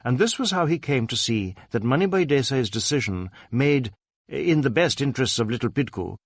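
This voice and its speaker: Man, audiobook-style narration, detective story, mid pitch, lively intonation, dry recording. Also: moderate pace, no room sound. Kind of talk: scripted dramatic narration of a detective novel.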